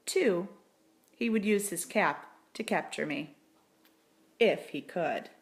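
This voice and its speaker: A woman's voice: lowish voice, animated, slow. A woman narrating a children's story from a script as an audiobook reader.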